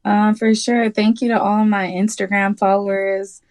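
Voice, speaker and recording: A woman's voice: medium pitch, little pitch movement, normal speed, darkish tone. A woman who makes music, answering casually and easily as a guest in a phone interview. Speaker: music artist being interviewed.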